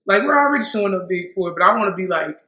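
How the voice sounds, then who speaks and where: A woman's voice: mid-range voice, very fast. A woman, co-hosting a casual group chat, laughing often. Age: 30s. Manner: laughs often, animated storytelling. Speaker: podcast co-host. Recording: echoey room.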